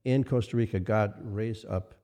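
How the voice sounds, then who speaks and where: An older man, a minister, recalling ministry history in a casual interview, speaking haltingly and repeating phrases for weight. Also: on the low side, fairly even intonation, dark tone. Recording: long reverberant hall echo.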